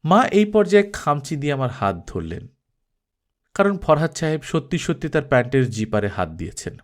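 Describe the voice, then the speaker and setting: A man's voice: fairly high pitch, very animated, normal speed. A man reading a story aloud as an audiobook narrator, in a dramatic, scripted storytelling style.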